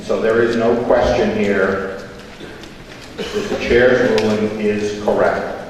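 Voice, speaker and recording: A man's voice: lowish voice, fairly even intonation, slow pace. A man, a state representative, giving a confident formal floor speech citing the rules. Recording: echoey chamber, distant mic, faint noise.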